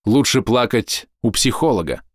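A man reading a scripted explanatory voice-over in measured, deliberate short phrases, close to the microphone. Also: medium pitch, lively intonation, dry recording. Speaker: narrator.

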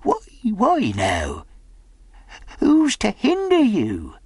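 A man's voice: sits high, very slow, breathy edge, no room sound, pitched above the performer's own voice, exaggerated voice. A man voicing a half-crazed, sly, eager marooned old pirate with rustic sailor's talk, in a storybook reading. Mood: sly, startled. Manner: rustic ungrammatical sailor's talk, repeats himself excitedly.

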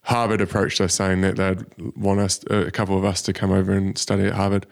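A young man, a business founder telling his story in a relaxed way, with many ums. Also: low voice, a little fast, creaky. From New Zealand. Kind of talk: casual interview. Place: studio.

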